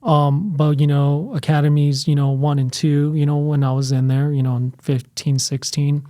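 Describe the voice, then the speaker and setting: Middle-aged male podcast guest, mellow tone, rambling with fillers, dry close sound. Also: fairly high pitch, level tone, moderate pace, dry recording. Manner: rambling, frequent "you know" fillers. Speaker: guest, fire academy training cadre member. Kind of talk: conversational podcast interview.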